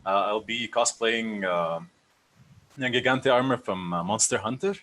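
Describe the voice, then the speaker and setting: A man's voice: lowish voice, unhurried, fairly bright tone. A man from Egypt introducing himself with many hesitant fillers. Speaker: contestant.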